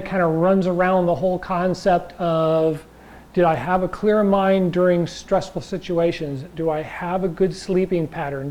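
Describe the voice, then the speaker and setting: Guy teaching tai chi class, bit raspy, mellow tone, chatty and wandering, keeps asking "right?". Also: high voice. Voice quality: slightly raspy voice. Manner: rambling, checks in with listeners. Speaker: chi gung and tai chi instructor. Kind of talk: casual class talk.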